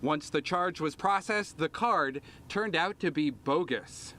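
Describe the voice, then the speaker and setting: Man, somewhat high pitch, TV reporter, scripted package narration, faint background noise. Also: a little slow, sharp tone. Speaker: TV news reporter.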